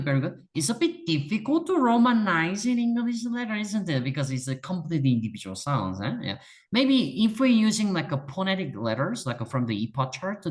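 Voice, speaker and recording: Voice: medium pitch, darkish tone. A native Korean speaker with a Korean accent, teaching a beginner one-on-one in a patient, encouraging way and repeating words for emphasis. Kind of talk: one-on-one language lesson.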